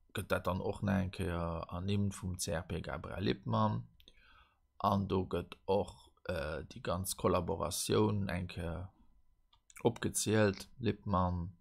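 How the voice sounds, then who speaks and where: Man, Luxembourgish accent, low gravelly voice, husky, halting with fillers. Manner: halting, many fillers and restarts, reading out articles. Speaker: host. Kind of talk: casual retro tech monologue.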